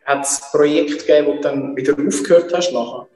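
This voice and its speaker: A man's voice: fairly high pitch, a little fast, a little mellow. A man in his forties with a Swiss German accent, asking questions as a casual interview host. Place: indoor martial arts training room. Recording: echoey room reverb, music behind.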